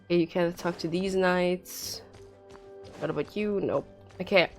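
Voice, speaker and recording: A woman comments casually on a game she is streaming. Her voice sits a bit low and moves slowly, halting as she muses aloud. Faint game music runs behind her. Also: steady tone, a little mellow. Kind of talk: casual live playthrough commentary.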